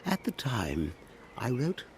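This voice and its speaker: A man's voice: mid-range voice, wildly swooping pitch, takes it slowly, a little hiss or hum. An actor playing a vain, elderly English aristocrat narrating his memoirs in a pompous, wordy manner for a radio comedy. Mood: grandiose reminiscing. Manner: pompous, wordy, self-regarding. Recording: narration over music.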